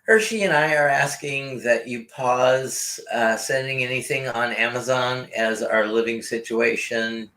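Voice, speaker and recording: A man's voice: medium pitch, unhurried, few pauses, bright, forceful tone. A man co-hosting a live stream, easygoing, speaking English as a second language. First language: island language. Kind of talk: casual live stream chat.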